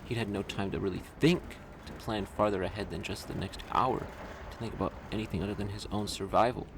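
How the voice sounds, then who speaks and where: A man's voice: lowish voice, fairly even intonation, a little fast, vocal fry, noisy background. A man reading literary scripted narration for an audio drama. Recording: loud music under the voice. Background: loud music.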